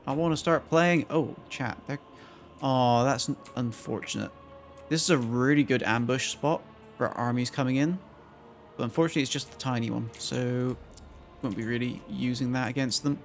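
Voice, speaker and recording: Croaky-voiced man streaming a strategy game. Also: mid-range voice, normal speed, soft background music. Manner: thinking aloud while planning moves. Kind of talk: casual gameplay commentary to chat.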